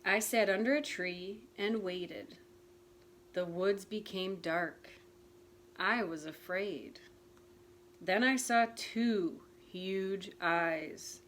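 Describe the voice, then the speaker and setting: A woman's voice: mid-range voice, very slow, a bit bright, slight background noise. A woman reading the part of a playful male frog who tells a spooky tale to his friend. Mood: storytelling. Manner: teasing, dramatic storytelling. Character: playful male frog telling a ghost story.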